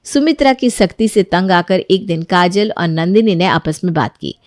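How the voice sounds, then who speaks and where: A woman narrating a moral cartoon story in an even storytelling manner. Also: lowish voice, moderate pace, dry recording, studio quality.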